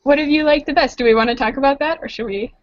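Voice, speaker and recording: A woman's voice: high-pitched, quick pace. A woman on an online call panel, discussing books in a casual, conversational way. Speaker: panelist and awards judge. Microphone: video call. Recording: muffled, lo-fi online call audio.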